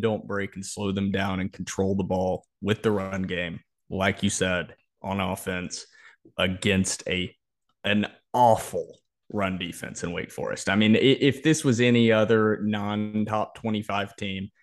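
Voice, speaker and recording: A man's voice: lowish voice, steady tone, a little slow. A man hosting a sports podcast, talking through a football preview in an opinionated, emphatic way. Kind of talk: football preview analysis, conversational.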